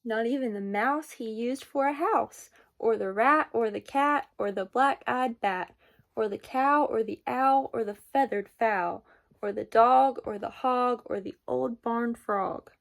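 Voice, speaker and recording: A woman reading a children's picture book aloud in a deliberate, expressive way, with sing-song emphasis. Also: on the high side, creaky. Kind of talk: children's storybook read-aloud.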